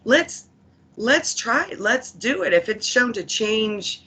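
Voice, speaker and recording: A woman's voice: fairly high pitch, very animated, takes it slowly, pushed and bright, slightly rough. A woman giving an earnest committee report as a program official, reflective and emphatic, repeating phrases for stress. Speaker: corrections program official presenting.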